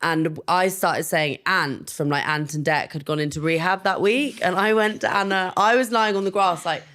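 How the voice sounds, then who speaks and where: A woman hosting a podcast in casual chat, enthusiastic and quick to laugh, full of "like" fillers as she tells stories and asks questions. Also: on the low side, a bit bright. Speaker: podcast host.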